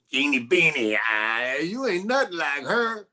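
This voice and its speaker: An actor playing a glib, wisecracking 1940s private detective in a campy noir radio play. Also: male voice, fairly high pitch, bright, forceful tone, exaggerated voice. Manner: glib, slangy.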